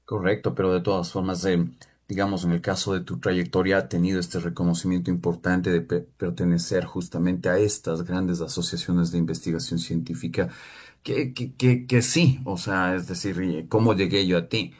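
A man's voice: sits low, flat delivery, moderate pace, darkish tone, husky. A man hosting an interview, asking long, winding questions full of filler words. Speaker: interviewer, host.

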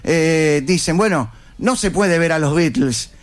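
An Argentine man hosts a conversational interview. His voice is clearly high-pitched for a man, and he talks informally as he puts questions to his guests. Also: a little slow. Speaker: interviewer, host.

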